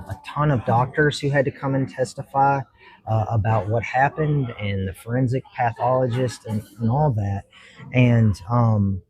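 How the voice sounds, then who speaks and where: Earnest halting judge, Kentucky man. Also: mid-range voice, a little mellow, rough recording. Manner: earnest, halting, many fillers and restarts. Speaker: judge, podcast guest. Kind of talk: casual interview talk.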